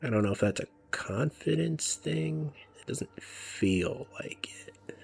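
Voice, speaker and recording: A man giving casual, rambling commentary over a video game, musing about himself and often dropping to a soft near-whisper. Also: on the high side, expressive, takes it slowly, vocal fry. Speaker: let's play commentator.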